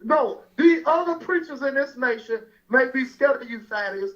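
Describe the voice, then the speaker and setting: A pastor from Alabama, calling in to a radio show and angrily threatening the host. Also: male voice, very high voice, lively intonation, moderate pace, fairly bright tone. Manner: angry, threatening, repetitive. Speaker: caller, pastor. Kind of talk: angry phone call to a radio show. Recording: dull lo-fi phone-in sound.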